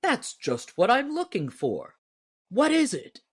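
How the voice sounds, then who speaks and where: A woman's voice: fairly high pitch, wildly swooping pitch, slow pace, breathy edge, voice pushed up, exaggerated voice. A woman voicing a giant male lumberjack in a storybook, hearty, plain-spoken and eager for hard work. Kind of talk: storybook character voice in audiobook reading.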